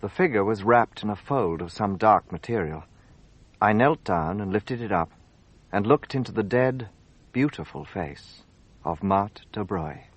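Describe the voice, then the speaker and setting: A man's voice: fairly low pitch, animated, measured pace, no room sound. An actor playing a detective's earnest male companion and narrator in a radio drama. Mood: grave. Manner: earnest, questioning.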